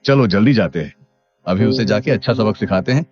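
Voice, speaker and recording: A man playing a stern, blustering village headman in an animated moral story, with a commanding manner. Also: on the low side, fairly quick, natural voice. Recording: dull lo-fi sound under music.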